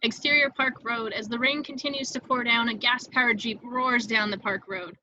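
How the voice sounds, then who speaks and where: Very bright-voiced woman narrating a script. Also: fairly high pitch, steady tone, moderate pace, lo-fi sound, a little hiss or hum. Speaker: stage directions narrator. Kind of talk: scripted narration at a table read.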